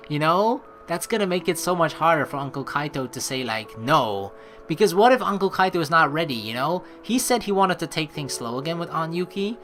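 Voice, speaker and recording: A chatty, sweary woman doing casual, expressive let's play commentary and reading narration aloud. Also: deep voice, a little hiss or hum. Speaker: let's player.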